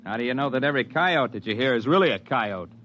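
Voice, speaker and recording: A man's voice: mid-range voice, expressive, fast talker, a bit bright, lo-fi sound, close to an everyday voice. Actor as level-headed American outdoorsman, noisy old recording. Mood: intent, conversational. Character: level-headed male outdoorsman narrating his mountain adventure. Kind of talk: old-time radio drama acting, first-person narration and dialogue. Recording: noisy vintage radio drama recording.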